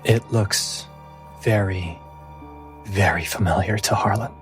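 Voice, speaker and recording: A man narrating a fantasy tabletop game as game master, in a deliberate, dramatic way with pauses. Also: lowish voice. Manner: slow, deliberate, pausing phrasing. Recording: music playing behind the voice. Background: music.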